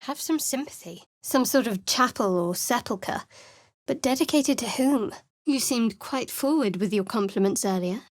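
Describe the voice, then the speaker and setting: A guarded young cleric with a sharp, dry tongue, as a woman plays her. Her voice sits a little high, and every line comes wary and cutting. She sounds close and bone-dry, with no room around her. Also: expressive, moderate pace, no echo, lightly acted. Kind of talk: video game voice acting.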